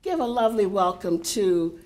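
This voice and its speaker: A woman's voice: medium pitch, very animated. An older woman directing a poetry center, giving chatty welcoming remarks with a big voice and many fillers to a live audience in a university hall. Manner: warm, chatty, unhurried with frequent fillers.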